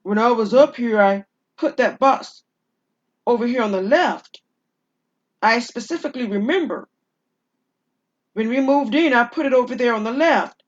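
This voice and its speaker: A woman's voice: on the high side, lo-fi sound. A woman performing an urgent, flustered husband spooked by an intruder in an audio drama.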